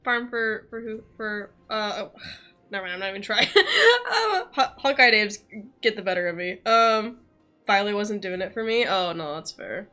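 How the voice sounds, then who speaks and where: A woman's voice: medium pitch, expressive, normal speed, pushed and bright. A young woman chatting casually and in a rambling way while she streams a video game, often giggly. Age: young adult.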